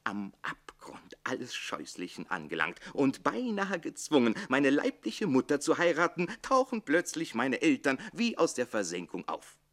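A man playing a witty, self-pitying barber-servant in a radio drama, declaiming theatrically between exuberance and hushed lament. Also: mid-range voice, wildly swooping pitch, normal speed, few pauses. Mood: boastful, animated.

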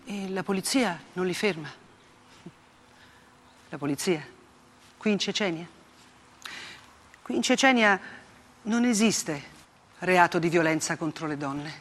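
An actress playing a grave, unflinching woman war reporter in a theatrical monologue, speaking in short, deliberate phrases with dramatic pauses. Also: lowish voice, expressive, slight background noise. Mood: grim, indignant. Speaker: stage actress. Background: plucked guitar-like music.

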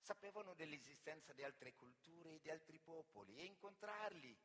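A man's voice: fairly high pitch, animated, normal speed, slightly rough. A man from Senegal with a Senegalese accent, an actor and griot performing a dramatic, declamatory theatrical monologue. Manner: dramatic, declamatory, swinging between raised voice and hushed delivery. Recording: reverberant hall with long echo.

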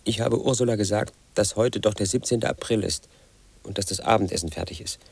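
An actor in his thirties playing an obsessive, aggrieved man who tells his story in a radio play monologue, in a flat, insistent, quietly resentful way. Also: fairly low pitch, fairly quick, gravelly, breathy edge, dry recording. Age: 30s. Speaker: narrator of his own story.

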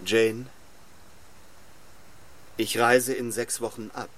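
A man's voice: medium pitch, level tone, slow, lightly acted. An actor playing a stern, cold, domineering clergyman in a radio drama, speaking in a solemn, controlled, commanding way. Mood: solemn, insistent. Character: St. John Rivers, stern, cold, domineering young clergyman missionary. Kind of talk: literary radio drama dialogue.

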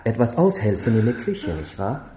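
An actress playing a menacing triad boss who taunts with drawn-out questions in a German radio crime drama. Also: female voice, extremely low pitch, very animated. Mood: cold menace. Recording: echoey room under loud guitar music.

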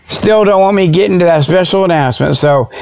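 A man's voice: sits high, fairly quick, gravelly, a little mellow, dull audio. An American man hosting a solo radio show, rambling in a folksy, joking way with stammered repetitions. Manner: folksy, jokey, stammering repetitions, chuckles at own jokes. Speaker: internet radio show host. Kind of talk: rambling solo comic monologue, mock product pitches.

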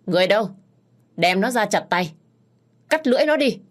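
A woman's voice: fairly low pitch, expressive, normal speed. A woman voicing the stern young master of a wealthy house, giving harsh, commanding orders.

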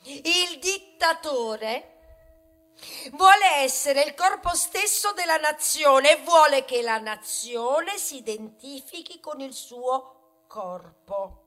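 A high-pitched, aggrieved talking wheat grain. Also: female voice, fairly bright tone. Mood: pompous lecturing. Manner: plaintive, conversational asides to the audience, sudden declamatory swells. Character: aggrieved talking grain of wheat, male, proud and self-justifying. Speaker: stage actor performing a monologue. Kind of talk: theatrical satirical monologue, rhetorical, with bursts of song.

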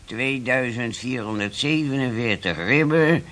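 An actor playing a meek, plodding office clerk in a radio drama, droning as he reads aloud haltingly. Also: mid-range voice, a bit bright, lightly acted. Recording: faintly hissy old radio play recording.

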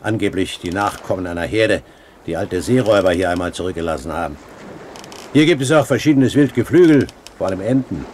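An actor playing a self-assured, courteous pirate captain in a German radio play, speaking formally and with measured articulation. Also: male voice, sits low, animated, a little mellow. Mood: composed, courteous. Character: self-assured male pirate captain, commanding yet courteous great-uncle. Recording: 1970s radio recording, faint hiss.

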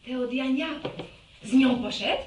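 An actress playing a sharp-tongued, impatient young woman friend in a radio serial, curt and nagging. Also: sits high, unhurried. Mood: curious. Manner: curt, nagging, repeats a catchphrase. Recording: roomy, distant, faintly noisy radio recording.

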